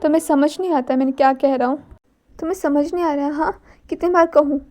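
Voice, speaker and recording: Girl voicing grumpy young guy, curt and scolding, mellow tone. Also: high-pitched, steady tone, fast talker, lightly acted. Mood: angry. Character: cold irritable young man, curt and scolding.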